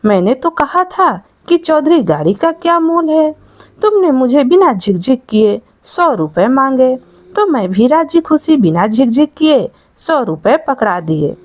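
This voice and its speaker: A woman's voice: high voice, very animated, unhurried, dry recording, clean studio sound, full character voice. A woman storyteller voicing a cunning, bullying male merchant who scolds and lectures in a moral tale. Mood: threatening, smug. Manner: scolding, lecturing, self-righteous.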